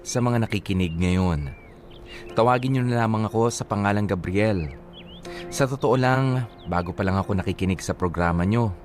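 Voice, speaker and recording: Earnest young narrator, lower pitch, measured radio drama storytelling, faint music. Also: male voice, a little mellow. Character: troubled young male letter writer, quiet working man. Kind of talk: dramatic first-person letter narration.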